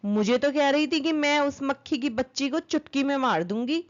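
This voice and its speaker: A woman's voice: sits high, expressive, normal speed, muffled recording. A woman voicing a sly fox in a children's story, speaking in a coaxing, goading way. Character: sly fox slyly goading a vain fly.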